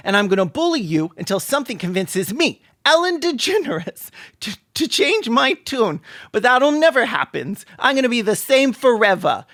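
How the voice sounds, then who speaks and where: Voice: medium pitch, a bit bright, no room sound, clean studio sound, voice pushed up. A comedic commentator voicing a dim, boastful schoolyard bully boy in a pompous, cartoonish way. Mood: menacing bluster.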